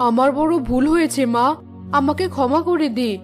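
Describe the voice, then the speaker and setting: A remorseful, fretting village parent played by a woman in a cartoon fairy tale. The voice is high, with faint music behind it. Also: normal speed, lightly acted. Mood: apologetic, fretful.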